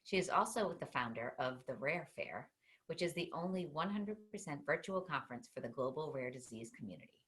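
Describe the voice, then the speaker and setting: A woman with a Boston accent hosts an interview over a video call. Her voice sits low, and she moves from a read-out welcome into friendly questions full of ums. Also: expressive, a little fast, a bit bright, low-quality recording. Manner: friendly, scripted introduction then chatty questions with fillers. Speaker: host.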